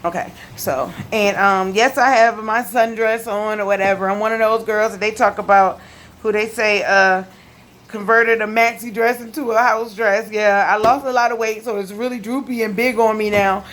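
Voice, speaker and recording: A woman's voice: medium pitch, steady tone, moderate pace, fairly bright tone. A woman with a lisp talking casually and emphatically to her viewers in a home video, repeating key points. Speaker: video blogger giving health testimony. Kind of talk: casual direct-to-camera chat. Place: living room at home.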